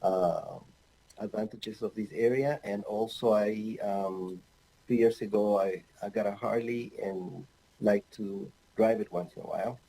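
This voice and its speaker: Mellow-voiced man from Ecuador lecturing. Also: fairly low pitch, fairly even intonation, vocal fry, slight background noise, low-quality recording. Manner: halting, many fillers, light humor. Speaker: anesthesiologist presenter. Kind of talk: recorded online medical lecture. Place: home office with bookshelves.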